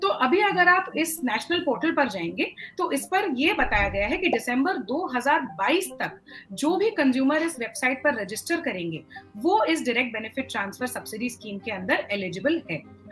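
A woman's voice: sits high, very animated, normal speed, fairly bright tone, faint music behind, low-quality recording. A young woman with an Indian accent, explaining informatively as an expert guest on a video call and mixing in English words. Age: young adult. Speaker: solar company representative, expert guest. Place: indoor furnished room.

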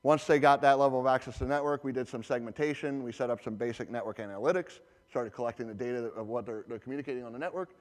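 A man's voice: mid-range voice, very fast. A man giving a conference talk as a presenter, conversational with many fillers and restarts. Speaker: conference presenter, security architect. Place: large conference hall. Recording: reverberant hall.

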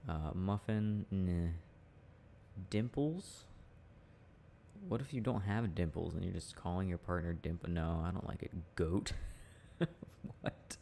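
A young man speaking in a playful, affectionate, halting murmur, like an intimate, casual ASMR boyfriend roleplay, with a deep gravelly voice. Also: dark tone. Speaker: boyfriend roleplay audio performer. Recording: faint background noise.

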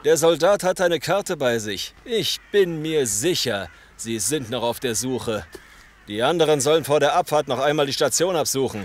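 An actor playing a ruthless, domineering gang leader in a German audio drama, commanding and condescending with menace. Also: male voice, mid-range voice, moderate pace, slight background noise, lightly acted.

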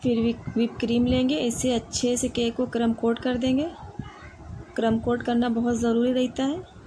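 A woman calmly explaining each step of a cake recipe in a home kitchen, speaking to a camera over the counter. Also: on the high side, moderate pace, slight background noise, low-quality recording. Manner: calm step-by-step instructions. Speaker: home baker. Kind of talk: cooking tutorial narration.

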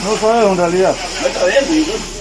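A man with a Peruvian Spanish accent, a neighbor at a fire, talking in a quick and matter-of-fact way. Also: high voice. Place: outdoors at a fire scene. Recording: distant voice, loud noise, crowd.

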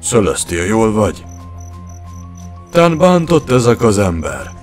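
A man's voice: on the low side, very animated, faint music behind. A man voicing an arrogant, greedy alien warrior commander in a cartoon fairy tale, cold, menacing and sneering. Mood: stern concern. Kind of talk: animated fairy tale dub.

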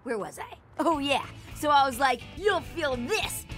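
A woman playing a cocky, excitable ten-year-old boy hero in a cartoon video game, boastful and brash. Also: sits high, unhurried, soft background music.

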